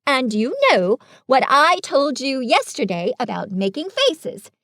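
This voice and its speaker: A woman's voice: a little high, big pitch swings, unhurried, dry recording, clean studio sound. A woman voicing a cheerful, firm, motherly female kangaroo who talks soothingly.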